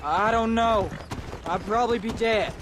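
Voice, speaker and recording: An actor playing a sullen, defiant teenage rancher's son with an American accent, defensive in a western video game. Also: male voice, high-pitched, a little slow. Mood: apologetic, defensive. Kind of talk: video game dramatic acting. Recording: outdoor noise with horse hooves.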